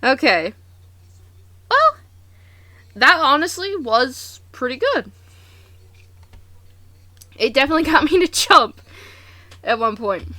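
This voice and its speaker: A woman hosting a reaction video, commenting casually in an animated, exclamatory, slangy way. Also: high-pitched, slow, pauses often, dry recording.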